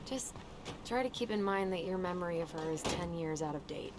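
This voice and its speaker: Game role: friendly, brisk young woman, lower register, naturalistic, female actor, noisy backdrop. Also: natural voice. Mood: cautioning. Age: young adult. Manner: casual, practical. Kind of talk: naturalistic video game acting.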